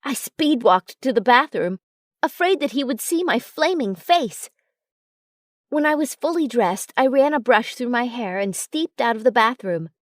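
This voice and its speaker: A woman reading a first-person story as a narrator, in an expressive way. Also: fairly high pitch, a little slow, no room sound, studio quality. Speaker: audiobook narrator.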